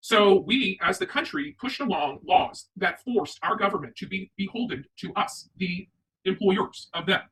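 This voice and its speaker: A woman's voice: mid-range voice, expressive, moderate pace, fairly bright tone, far from the mic, low-quality recording. A woman from the United States hosting opinionated livestream commentary, speaking emphatically and repeating her points for stress. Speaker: online commentary show host.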